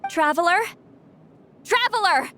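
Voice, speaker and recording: A woman voicing a self-assured female shaman in a video game, with a brisk, matter-of-fact manner. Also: very high voice, expressive, pushed and bright, slight background noise, heavily acted. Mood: urgent. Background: game music.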